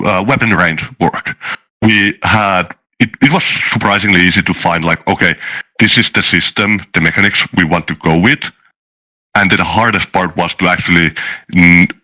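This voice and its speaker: A man talking casually as a game designer being interviewed, halting and often restarting his words. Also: sits low, sharp tone, low-quality recording. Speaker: tabletop game designer, guest.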